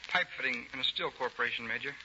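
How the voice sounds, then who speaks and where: A man's voice: medium pitch, fast talker, bright, forceful tone. A young man from Ohio answering a host's questions as a contestant on a 1930s radio show. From Ohio, United States. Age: young adult. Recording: muffled, hissy 1930s radio recording.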